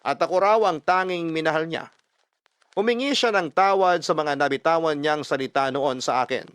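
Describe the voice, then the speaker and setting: A man narrating a first-person love story dramatically, expressive and emphatic, mixing Tagalog and English. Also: on the high side, normal speed, clear, resonant tone, no room sound. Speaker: storyteller reading a listener's letter.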